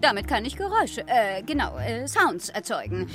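High-voiced, flustered bossy woman. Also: wildly swooping pitch, moderate pace, over music. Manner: officious, hesitant, self-correcting. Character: flustered, bossy woman running a fear experiment. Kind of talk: German children's radio play acting.

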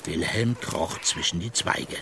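An actor playing an expressive fairy-tale narrator, reading a scripted children's radio story. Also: male voice, sits low, measured pace, background noise. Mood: storytelling. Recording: studio radio play with music.

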